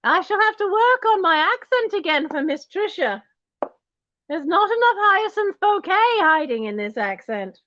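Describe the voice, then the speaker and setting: A woman's voice: very high voice, sing-song, moderate pace, a bit bright, no echo, muffled recording, exaggerated voice. A woman playing a snobbish posh lady in a wig and diamonds, with a put-on posh British accent, running an auction and talking down to her viewers. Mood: haughty. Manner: condescending, calls everyone darling and commoners. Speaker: auction host. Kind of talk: in-character livestream auction.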